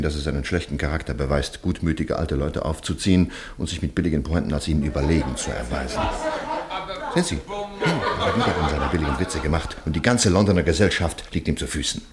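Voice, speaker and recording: An actor playing an honest, indignant gentleman with a grudge in a German radio play, speaking earnestly and formally. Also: extremely low pitch, brisk.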